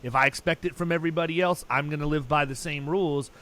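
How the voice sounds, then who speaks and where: A man's voice: a little high, steady tone, quick pace, fairly bright tone. A man hosting a podcast, telling stories in a blunt, emphatic and talkative way, often restarting his sentences. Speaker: podcast host. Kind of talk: casual podcast chat.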